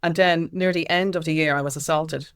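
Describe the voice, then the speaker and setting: A woman's voice: sits low, fairly even intonation, a little fast, clear, resonant tone. An Irish woman speaking as an activist guest in a candid interview, with an emphatic, impassioned way of talking.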